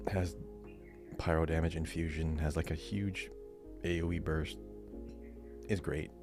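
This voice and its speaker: A man with a deep voice and plenty of vocal fry co-hosts a casual podcast. He talks in an informal, chatty way, dropping in short asides as he goes. Music plays clearly underneath his voice. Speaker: podcast co-host. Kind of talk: casual podcast chat, reading listener answers and commenting.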